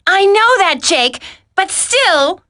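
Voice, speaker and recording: A woman voicing a level-headed, caring commando in a video game, composed and crisp. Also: very high-pitched, sing-song, takes it slowly, sharp tone, heavily acted. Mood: concerned.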